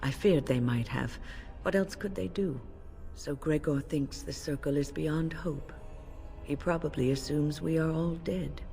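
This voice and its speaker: A woman playing a grave, protective senior mage in a video game, speaking in a measured, solemn way. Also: low voice, warm tone. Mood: grim, resigned. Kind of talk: video game dialogue acting. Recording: long reverb, music underneath. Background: game music.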